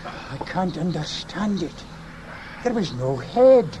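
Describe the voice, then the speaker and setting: A Scottish inspector in a radio mystery, brisk and hearty as he takes charge. His voice sits a little high, and loud ambience surrounds it. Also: very animated, noisy background, full character voice. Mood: troubled. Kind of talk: British radio drama acting.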